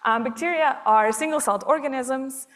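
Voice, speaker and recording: Woman from belgium giving a science talk, kinda high voice, lots of ums. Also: moderate pace, fairly bright tone. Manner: explanatory, with frequent ums.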